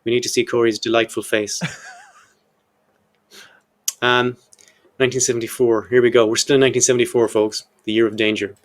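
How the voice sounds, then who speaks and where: 50ish guy hosting a history podcast, talks fast, cheeky, swears a bit, reads quotes and cuts in with jokes. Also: medium pitch, flat delivery. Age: 50s. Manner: irreverent, jokey, swears casually; reads out long historical quotes with his own asides. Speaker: podcast host. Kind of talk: casual history podcast chat.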